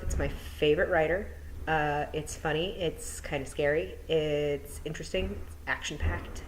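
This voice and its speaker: A woman's voice: lowish voice, slight background noise, low-quality recording. A woman teacher at home, chatting with her pupils and then reading a children's novel aloud in a friendly, encouraging way. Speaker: teacher giving a read-aloud. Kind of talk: friendly classroom talk and storybook reading.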